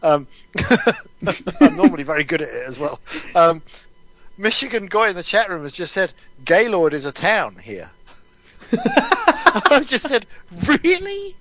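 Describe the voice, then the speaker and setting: A man's voice: high voice, very animated, unhurried, pauses often, over loud music, poor audio. A man co-hosting a casual live show with matey, informal, sweary banter. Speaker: co-host.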